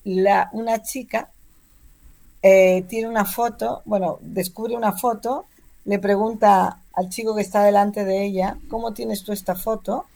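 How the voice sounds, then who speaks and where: A woman with an accent from Spain, speaking as an interviewed novelist in a talkative, expressive, conversational way. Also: medium pitch, a little slow, creaky. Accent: Spanish, from Spain.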